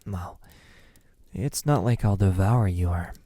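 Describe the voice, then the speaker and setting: A lovesick, ancient male vampire, played by a man, murmurs in a husky, breathy voice. He speaks very slowly and haltingly, slipping into whispers. The tone is dark and velvety, gentle yet desperate and possessive, in an intimate ASMR roleplay. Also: low voice, dry recording. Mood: soothing, pleading. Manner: slow, hesitant, halting, tender.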